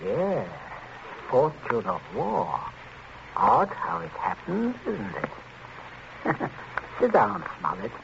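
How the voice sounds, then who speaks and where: A man's voice: medium pitch, wildly swooping pitch, vocal fry, heavily acted. An actor playing a controlling middle-aged father, outwardly jovial and coldly menacing, in 1940s radio drama style. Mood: forced joviality. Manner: deliberate, chuckling, stammering when feigning cheer. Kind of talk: 1940s radio thriller acting. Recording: muffled, hissy 1940s radio broadcast.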